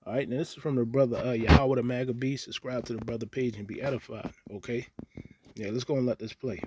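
A man's voice: medium pitch, steady tone, fast talker, background noise. A man giving casual religious commentary on the news, reading scripture aloud and tagging nearly every point with "okay?". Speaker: online preacher commenting on news. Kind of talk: casual religious commentary over screen recording.

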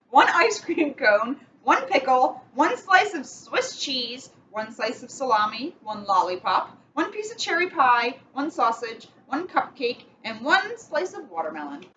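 Young woman with a high, lively voice. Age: young adult. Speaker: police officer reading a picture book. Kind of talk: children's story read-aloud with casual asides. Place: indoors in a catering cafe. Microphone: webcam a short distance away. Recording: muffled, noisy webcam room recording.

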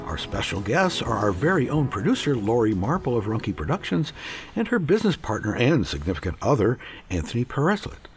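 A man's voice: mid-range voice, very animated, fairly quick, faint music behind. A man hosting a panel interview on a radio show, reading his introductions and putting questions to guests.

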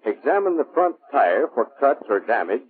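A tinny, narrow-band vintage military film soundtrack carries an American man's narration. His voice is rough-edged and sits at a middle pitch. He delivers scripted instructions in a clipped, measured way, like a formal training film narrator. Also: very animated.

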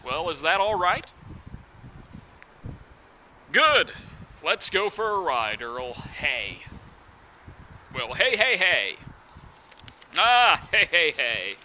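A young man giving a mock-serious dramatic reading of song lyrics, deliberate and theatrical, with pauses. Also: a little high, sing-song, pushed and bright, slight background noise. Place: outdoors in snowy woods.

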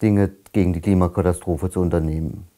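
A man's voice: low-pitched, fairly even intonation, a little fast, warm tone. A man in his sixties in a recorded interview, speaking reflectively and without hurry. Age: 60s. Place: dim indoor set.

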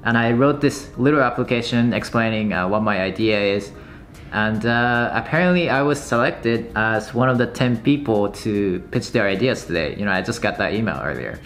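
A man's voice: on the low side, animated, normal speed, a bit bright. Young man musing aloud over code. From Japan. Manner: thinks aloud with many fillers. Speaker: YouTube developer vlogger. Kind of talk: casual vlog narration. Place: home desk.

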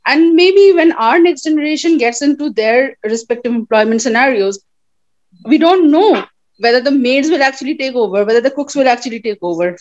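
A woman moderating a discussion, speaking thoughtfully with many pauses on a video call. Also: high voice, lively intonation. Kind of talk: casual discussion. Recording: dull lo-fi video call audio.

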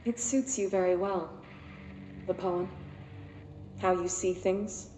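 An actress playing a calm, sceptical therapist in a video game, measured and clinical as she probes. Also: fairly low pitch. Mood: calm, probing. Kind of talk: naturalistic video game acting. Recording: roomy echo, faint background noise.

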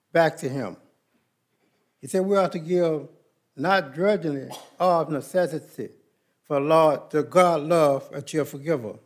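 Man, slightly high voice, leads communion and prayer at a pulpit mic in a big sanctuary, measured and reverent. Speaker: church leader at the Lord's Supper. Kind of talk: plain church service leading and prayer. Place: large church sanctuary.

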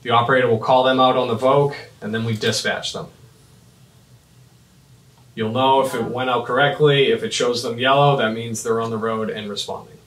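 A man's voice: medium pitch, steady tone, moderate pace, fairly bright tone. Echoey, distant-miked man giving step-by-step instructions. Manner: instructional, procedural, short clipped phrases. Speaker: fire dispatch trainer. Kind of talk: step-by-step software demonstration. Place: small indoor office at a computer desk. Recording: roomy, echoing, mic at a distance.

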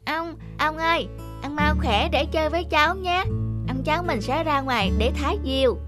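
A woman's voice: high-pitched, moderate pace, natural voice. A woman voicing a sweet, devoted little peasant boy in a children's cartoon, with a polite, childlike, pleading manner. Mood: affectionate. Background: music.

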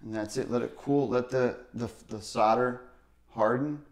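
A man's voice: mid-range voice, steady tone, normal speed, slight background noise. A man in his thirties, a plumber, casually talking through a hands-on demonstration at a workbench, plain and step by step with fillers. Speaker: plumbing instructor. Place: indoor workshop bench.

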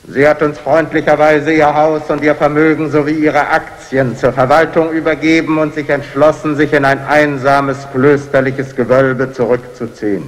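A man's voice: a little high, close to an everyday voice. A man playing the smug leader of a secret brotherhood, giving ceremonious speeches in a 1960s radio crime drama. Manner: ceremonious, oratorical. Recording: echoing vault-like hall reverb.

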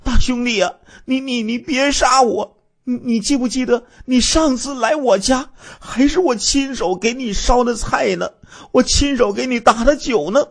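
A man's voice: very high voice, normal speed, voice pushed up. A man voicing a frightened rural woman who stammers as she pleads for her life. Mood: terrified.

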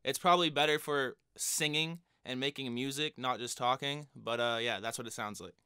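A man's voice: normal speed, clean tone, dry recording. A young man with a cold, talking casually to his viewers while reviewing a microphone in a small home studio, speaking into a studio condenser mic. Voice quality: higher-pitched voice. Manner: relaxed, chatty, addresses viewers directly. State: has a cold. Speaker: music producer reviewing a microphone. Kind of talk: casual product review vlog. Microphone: studio condenser mic on stand in front of him.

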